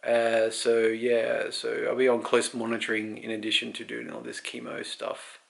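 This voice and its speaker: A man in his thirties talks off the cuff with plenty of vocal fry and a fairly flat melody. He rambles candidly, pausing to think aloud, in the manner of a personal video diary. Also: medium pitch. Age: 30s. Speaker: vlogger. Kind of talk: casual unscripted personal health update.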